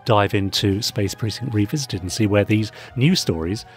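A man's voice: on the low side, moderate pace, soft background music. A man co-hosting a podcast, chatty and jokey, with a theatrical presenter's delivery. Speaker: podcast co-host.

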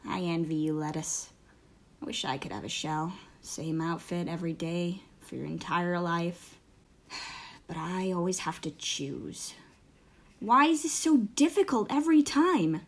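A woman's voice: fairly low pitch, expressive, measured pace. A woman voicing a cheeky, dramatic, moody thirteen-year-old girl in a comic fandub. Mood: wistful grumbling. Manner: cheeky, dramatic, musing to herself.

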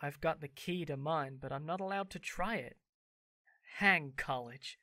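A boyish, teasing young man, played by a woman, in a radio-style drama. The voice sits very low and sounds close and dry, without room echo. He wheedles and jokes with easy charm. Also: unhurried, sharp tone. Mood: wistful. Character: cheerful teasing young man, playful neighbour boy. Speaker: voice actor in an amateur audio drama. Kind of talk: theatrical audio drama acting.

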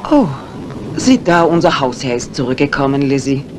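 An actress playing a brisk, commanding mistress of a house in a 1950s radio crime drama, decisive and imperious. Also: female voice, very deep voice, very animated. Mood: indignant. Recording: noisy vintage radio recording.

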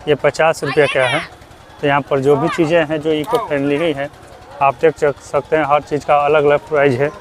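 A young man from Bihar narrating a walking vlog at an outdoor crafts fair on a lapel mic, repeating himself and loudly asking vendors for prices. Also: fairly high pitch, level tone, moderate pace. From Bihar, India. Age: young adult. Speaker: vlogger. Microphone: clip-on lapel mic.

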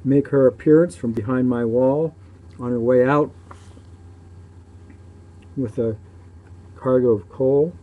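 A man giving casual, off-the-cuff video commentary in a matter-of-fact way, often pausing between phrases. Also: medium pitch. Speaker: local shipping news publisher filming ships. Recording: muffled dark sound, clear background noise.

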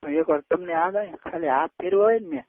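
A man's voice: fairly high pitch, fast, no room sound. A man, chatting casually on the phone in an insistent, coaxing way. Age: early 20s. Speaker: caller. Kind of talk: casual private phone chat. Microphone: telephone. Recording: tinny phone call recording.

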